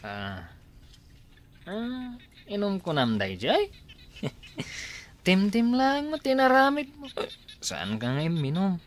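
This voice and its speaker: A man's voice: high voice, huge pitch swings, slow pace, pauses often, full character voice. A man in a radio drama playing a boisterous, pushy drinking buddy who laughs and teases. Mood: teasing. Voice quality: loud, laughing, teasing.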